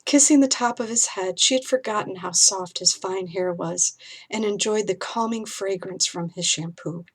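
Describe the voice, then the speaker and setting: Woman speaking in a gently instructive way. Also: medium pitch, moderate pace, breathy edge. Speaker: author and speaker on pet loss. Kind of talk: explanatory talk and storybook reading.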